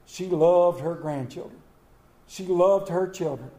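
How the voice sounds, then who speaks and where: A man preaching a Mother's Day sermon, folksy and storytelling, with dramatic slow pauses on quoted lines. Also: fairly high pitch, dark tone. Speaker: pastor. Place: church sanctuary. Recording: echoey church room.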